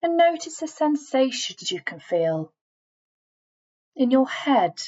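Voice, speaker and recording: A woman reading a scripted guided relaxation in a measured, instructing manner, leaving deliberate pauses between phrases. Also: sits high, sing-song, dark tone, muffled recording. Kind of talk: scripted guided meditation voiceover.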